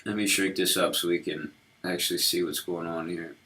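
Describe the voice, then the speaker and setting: Brief: deep-voiced male live-coder, slightly hoarse from a cold, casual and halting. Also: level tone, bright, forceful tone. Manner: halting, lots of ums and restarts while working. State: slightly sick, losing his voice. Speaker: web developer streaming his work. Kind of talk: casual live-coding walkthrough.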